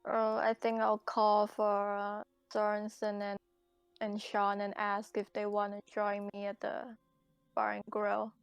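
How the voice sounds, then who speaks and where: A woman playing a tabletop roleplaying game, chatting casually in character in a hesitant, low-key way. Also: monotone, measured pace, smooth, no echo.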